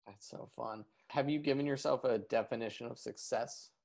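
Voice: medium pitch, steady tone, moderate pace, creaky, a little mellow. An interviewer asking questions in casual chat, praising the guest with many fillers.

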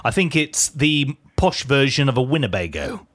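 A deadpan man trades dry quips in easy podcast banter. His voice sits in a middle pitch. He keeps his delivery flat and understated. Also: normal speed. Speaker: podcast co-host. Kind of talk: casual comedic chat.

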